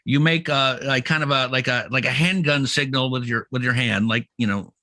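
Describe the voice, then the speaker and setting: A man with a bright, forward voice recorded close and dry. He co-hosts a podcast in a loose, joking style, often stopping and repeating words as he works through an explanation. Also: mid-range voice, moderate pace, dry recording. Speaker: podcast co-host. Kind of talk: casual comedic banter.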